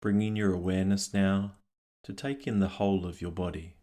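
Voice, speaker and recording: Very deep-voiced man guiding meditation calmly. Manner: calm, gentle, unhurried with long pauses. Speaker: meditation guide. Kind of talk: guided meditation, scripted narration.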